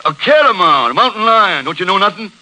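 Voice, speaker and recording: An actor playing a boastful, bullying cowboy roughneck with a folksy American Western accent in a 1940s radio comedy. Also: male voice, sits high, sing-song, brisk, sharp tone, exaggerated voice, poor audio. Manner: folksy drawling brag, blustering. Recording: vintage 1940s radio broadcast.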